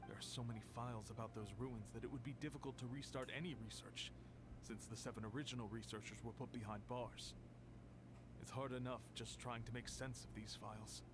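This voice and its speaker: An actor playing a grave, weary archive official in a video game, speaking in a measured, serious, explanatory way. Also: male voice, mid-range voice, fairly even intonation, natural voice. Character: grave, weary male archive official recounting past events. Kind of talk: video game voice acting, expository dialogue. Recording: noisy background on game stream.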